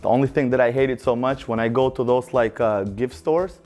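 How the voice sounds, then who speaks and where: A man's voice: mid-range voice, brisk, darkish tone. Male, thirties, mid-range voice, casual panel contestant, faint music underneath. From Albania. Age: 30s. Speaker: contestant in a fan panel game. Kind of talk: casual group chat.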